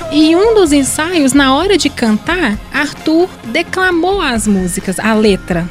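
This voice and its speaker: A woman's voice: sits high, sing-song, measured pace, faint music behind. A woman with a Brazilian Portuguese accent co-presenting a radio show in a playful, chatty way with jokey asides. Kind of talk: casual radio chat.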